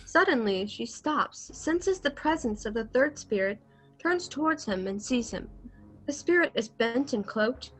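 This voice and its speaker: A woman reads scripted narration for a radio play. Her voice is on the high side, and she sets out each scene as the narrator, recorded at home over a call. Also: expressive, a little slow, a bit bright, slightly rough, faint music behind, low-quality recording. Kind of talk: scripted narration of stage directions.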